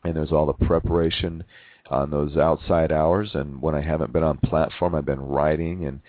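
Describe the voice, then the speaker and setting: A man in his forties, hosting a reflective personal monologue, who talks haltingly with many restarts and repeated words. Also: rumbling low, flat delivery, dry recording. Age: 40s. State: losing his voice from overwork. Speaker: podcast host. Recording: narrow phone-like sound.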